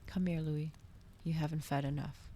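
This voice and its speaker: Woman playing male vampire tempter, calm, persuasive, soft ASMR storybook delivery, creaky, faint noise behind. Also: deep voice. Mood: calm, coaxing. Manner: slow, soft, coaxing. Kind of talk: ASMR audiobook reading.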